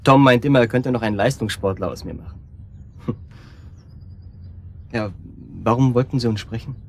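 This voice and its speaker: A hesitant young man played by an actor in a naturalistic radio drama. His voice sits at an ordinary male pitch and his sentences falter and repeat, weighed down by self-doubt. There is noticeable noise and ambience behind him. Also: natural voice. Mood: guarded, polite. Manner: halting, repeating himself.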